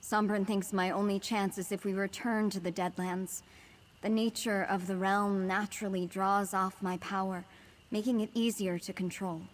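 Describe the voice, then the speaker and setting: An actress playing a troubled young woman burdened by dangerous power in a video game, speaking seriously and quietly guarded. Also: mid-range voice, fairly even intonation, normal speed, natural voice, low-quality recording. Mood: resigned, worried. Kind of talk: video game dialogue acting.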